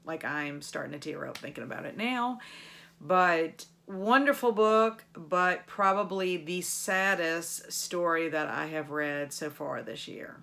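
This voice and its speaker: A middle-aged woman hosting a book channel, chatting casually to viewers with enthusiastic, expressive emphasis. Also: fairly low pitch. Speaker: book vlogger and channel host.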